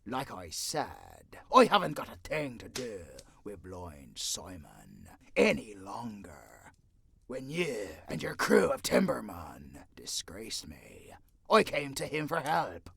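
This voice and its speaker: A blustering gentleman pirate for an audio drama, with a husky, gravelly voice that swoops theatrically between low menace and bold proclamation. He swaggers through archaic seafaring talk. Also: wildly swooping pitch, slow pace, heavily acted. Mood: brooding. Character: blustering English gentleman pirate with hooks for hands. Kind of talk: cinematic audio roleplay acting.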